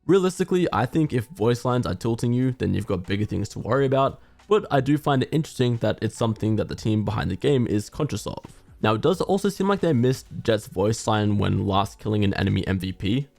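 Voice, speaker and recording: A man giving casual, conversational commentary on a video game in a measured, opinionated way. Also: on the low side, big pitch swings, creaky, darkish tone, no room sound.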